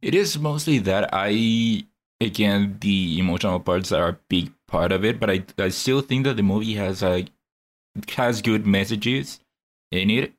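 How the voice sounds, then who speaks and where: Low-voiced, earnest male co-host. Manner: measured, earnest, with restarts. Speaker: podcast co-host. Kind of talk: casual podcast chat.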